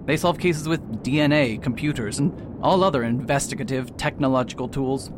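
A man's voice: mid-range voice, normal speed, lightly acted. A man playing a proud, faded detective who talks pompously and hesitates with filler sounds, in an amateur radio drama. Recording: noisy recording with steady hiss.

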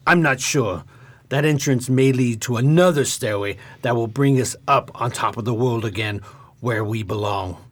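A knowing adult guide, played by a man, with a husky voice of middle pitch. He explains things in a measured, reassuring way, as in a radio adventure play. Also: close to an everyday voice. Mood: calm, explanatory.

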